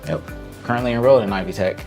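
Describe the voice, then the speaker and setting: Young man, from Indianapolis area, very deep, casual, filler-heavy. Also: racing, a little mellow, faint music behind. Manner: casual, filler-heavy sports-fan talk. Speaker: instructional assistant.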